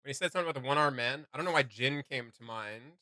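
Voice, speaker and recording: A man hosting a reaction video, chatting casually in an animated, rambling, speculative way. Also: mid-range voice, rapid-fire, no room sound, studio quality. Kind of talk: casual chat, reacting to game voice lines.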